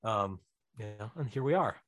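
A man chatting casually as an interview guest, animated and rambling, often correcting himself mid-sentence. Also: on the low side, unhurried, no room sound, studio quality. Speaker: writer-director of audio dramas, podcast guest.

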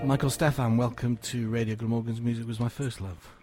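Measured male radio presenter over music. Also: mid-range voice, fairly even intonation. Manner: measured, formal delivery. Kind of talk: scripted introduction and interview.

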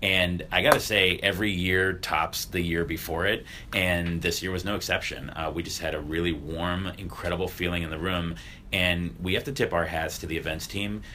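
A man's voice: low-pitched, steady tone, moderate pace, bright, forceful tone, a little hiss or hum. A man hosting a casual chat in an upbeat, enthusiastic way. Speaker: podcast host, charity staff.

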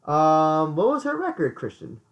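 A man co-hosting a casual podcast chat, hoarse, often stumbling and repeating words. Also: fairly high pitch, wildly swooping pitch, unhurried, highs cut off. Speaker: podcast co-host.

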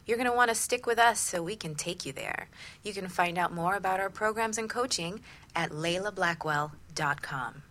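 A woman's voice: medium pitch, moderate pace, fairly bright tone. A woman co-hosting a talk radio show as a relationship coach, in a partly scripted presenting style.

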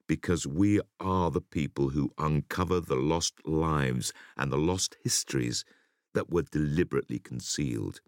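A man reading an interview essay for an audiobook in a measured, emphatic way with deliberate pauses. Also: very deep voice, gravelly, no echo, studio quality. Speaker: audiobook reader.

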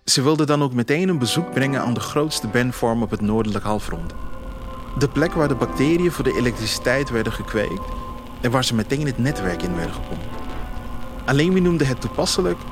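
A man reading a scripted first-person audio drama in a reflective storytelling manner, with pauses. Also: mid-range voice, expressive. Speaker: writer narrating his own audio story. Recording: music behind the voice. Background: music.

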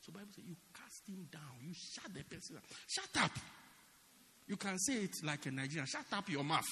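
A man preaching a dramatic sermon as pastor in a church, swinging from loud shouts to quiet asides. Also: on the high side, sing-song, moderate pace, long reverb tail, a little hiss or hum.

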